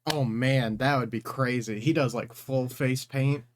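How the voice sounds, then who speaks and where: A man's voice: medium pitch, steady tone, a little slow. A man co-hosting a livestream, chatting casually in an easygoing, agreeable way. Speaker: co-host, streamer's husband. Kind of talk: casual chat.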